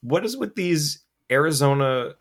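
A man's voice: a little high, animated, unhurried. A man hosting a casual hockey podcast, joking around, swearing casually and hyping up his guests. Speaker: podcast host. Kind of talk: casual sports podcast chat.

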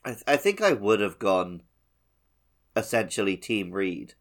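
A man's voice: on the low side, sing-song, measured pace. A man co-hosting a casual gaming podcast, chatting in a wry, opinionated way. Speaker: podcast co-host.

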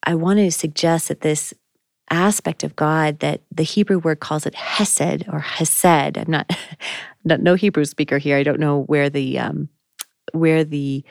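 Low-pitched woman co-host, reflective and searching, dry studio sound. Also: fairly even intonation, a little slow, gravelly, no room sound. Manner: thoughtful, searching, with frequent ums. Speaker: podcast co-host. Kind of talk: reflective faith conversation.

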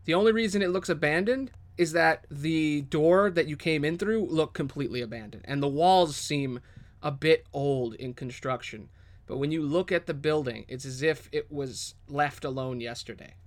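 A man's voice: fairly high pitch, moderate pace. A man improvising descriptive, dramatic second-person narration as the game master of a tabletop fantasy game.

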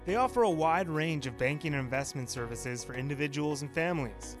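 Male announcer, measured sponsor read over clear background music. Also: fairly high pitch, lively intonation.

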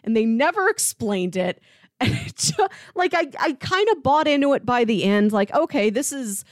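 A woman in casual, animated conversation as a podcast host, talking through a TV review with loose, conversational phrasing. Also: a little high, moderate pace, no echo, clean studio sound.

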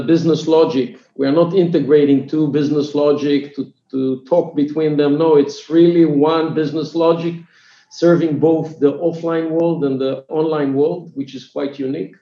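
A man's voice: on the high side, warm tone. A man in his fifties from Israel with an Israeli accent, a company chief executive answering interview questions hesitantly, with many fillers. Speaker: guest, company CEO. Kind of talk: business podcast interview. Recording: echoey, dull remote audio.

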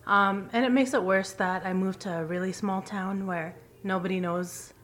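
A woman's voice: medium pitch, fairly even intonation, normal speed, a bit bright, a little hiss or hum. A young woman who grew up in Canada after early years in the Philippines speaks as a podcast guest. She is candid and a little self-deprecating, telling anecdotes casually in a mid-range voice. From born in Philippines, raised in Canada. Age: young adult.

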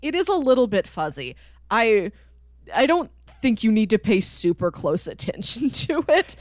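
A woman's voice: fairly high pitch, sing-song, normal speed, dry recording, studio quality. A woman co-hosting a podcast, chatting casually and explaining things in detail with an enthusiastic, chuckling manner. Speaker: podcast co-host. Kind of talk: casual chat, explaining and recapping a TV cartoon.

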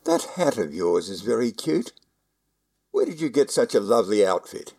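A man playing a kindly, earnest old man who believes he is Santa, gentle, hesitant and folksy in an old-time radio drama. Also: fairly low pitch, big pitch swings, normal speed. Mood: genial, assured. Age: older.

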